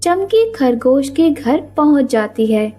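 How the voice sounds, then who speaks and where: Slow, high-voiced woman storyteller. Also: lively intonation, mellow. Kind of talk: scripted children's story narration. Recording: music behind the voice.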